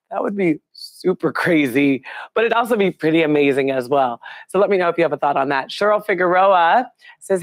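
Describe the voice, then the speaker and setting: A woman, hoarse and raspy from a cold, hosting a live news chat and greeting viewers by name. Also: lowish voice, no room sound. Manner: chatty, upbeat, throws questions to viewers. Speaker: live show host.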